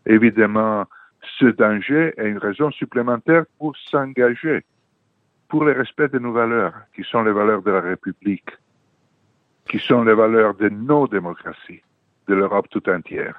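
A man's voice: lowish voice, normal speed, no room sound. Older Italian-accented man on a phone line. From Italy. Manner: earnest, hesitant with many fillers. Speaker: political journalist, guest. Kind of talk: radio interview by phone. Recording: tinny dry phone line.

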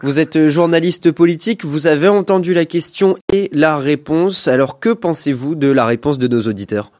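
Higher-voiced male radio host. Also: normal speed, darkish tone. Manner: questioning, hesitant with fillers. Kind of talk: radio interview.

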